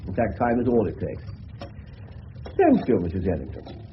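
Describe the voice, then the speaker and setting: Posh-mannered older english general sleuth, genial n rambling, swoops up and down, radio play, dull hissy old recording. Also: male voice, lowish voice, huge pitch swings, normal speed. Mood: genial, playful. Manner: genial, digressive, courteously pompous questioning. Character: eccentric, shrewd older gentleman general turned amateur detective. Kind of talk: 1970s radio drama acting.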